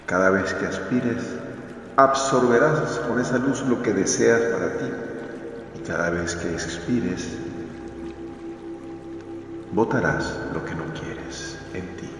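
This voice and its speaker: Slow, deep-voiced man in cavernous reverb. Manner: soothing, deliberate, repeats phrases gently. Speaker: meditation guide, video host. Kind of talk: slow guided meditation narration. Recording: cavernous long reverb over music. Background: music.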